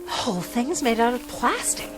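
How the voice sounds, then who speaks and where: A woman's voice: a little high, big pitch swings, normal speed. An actress playing a frightened but stubborn woman from a remote cabin in a 1960s TV drama. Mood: baffled. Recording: vintage TV soundtrack with music.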